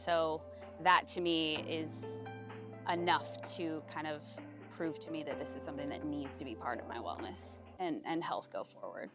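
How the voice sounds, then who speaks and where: A young woman with a lowish voice speaks in a relaxed testimonial, chatty and full of fillers and soft laughs. The sound is a bit dull and lo-fi. Music plays behind her throughout. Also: normal speed. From United States. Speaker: retreat guest giving a testimonial. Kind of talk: casual testimonial interview. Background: background music.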